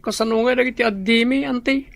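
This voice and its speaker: A woman acting as a worried, deferential younger sibling in an Ilocano radio drama, asking anxious questions in a creaky voice. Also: fairly high pitch, fairly even intonation, fast. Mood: puzzled, worried.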